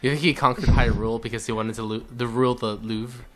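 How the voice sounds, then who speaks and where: A man's voice: mid-range voice, steady tone, quick pace, fairly bright tone, soft background music. A man co-commentating on a video game in casual banter, dryly making wisecracks.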